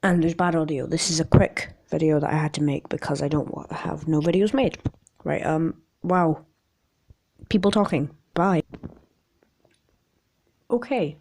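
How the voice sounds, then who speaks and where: Raspy, low-pitched woman rambling casually. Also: unhurried, pauses often, a little mellow. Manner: self-deprecating, jokey, stumbles over reading. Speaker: amateur video maker. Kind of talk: casual rambling vlog with articles read aloud.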